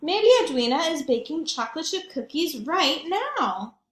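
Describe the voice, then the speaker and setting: Woman as an insistent, high-voiced schoolkid. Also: sing-song, moderate pace, a bit bright. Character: eager young schoolchildren defending their dinosaur friend. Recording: echoey room.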